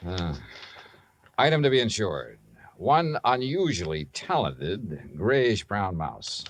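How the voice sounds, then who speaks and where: A wisecracking radio-drama insurance investigator. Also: male voice, lowish voice, very animated, takes it slowly, a little hiss or hum, full character voice. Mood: wry. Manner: wry, teasing comebacks. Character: wisecracking male insurance investigator, sly and breezy. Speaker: lead actor. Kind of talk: 1950s radio drama acting. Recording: old radio drama with music underneath.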